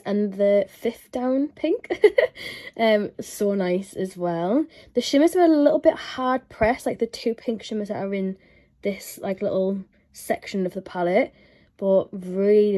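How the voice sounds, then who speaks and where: A woman's voice: medium pitch, mellow. A young woman with a blocked-up nose from a cold, chatting casually through a makeup review and laughing often. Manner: chatty, giggly, drops to a near whisper while applying makeup. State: congested with a cold. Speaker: beauty vlogger.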